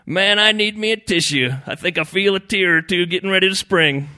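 Man playing a brash, high-pitched sarcastic fishing buddy. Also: very animated, a little slow, pushed and bright, exaggerated voice. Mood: sarcastic teasing. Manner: sarcastic, teasing.

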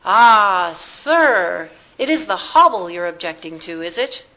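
A woman voicing a swaggering English circus owner in a storybook, booming and theatrical with teasing politeness. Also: big pitch swings, slow, sharp tone, heavily acted, rough recording. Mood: amused, commanding.

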